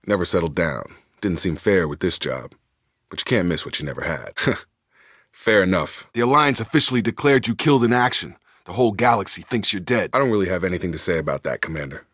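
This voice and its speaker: A man playing a steady, loyal space soldier in a video game, plain-spoken and guarded, with clipped military delivery. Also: low-pitched, dry recording, clean studio sound. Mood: earnest, reserved. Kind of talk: video game character dialogue.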